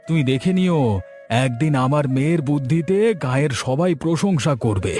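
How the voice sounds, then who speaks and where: A man's voice: on the high side, normal speed, a little mellow, natural voice. A man voicing a good-natured village fisherman and father in a cartoon, hearty and proud of his daughter. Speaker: voice actor. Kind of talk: cartoon dubbing. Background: music.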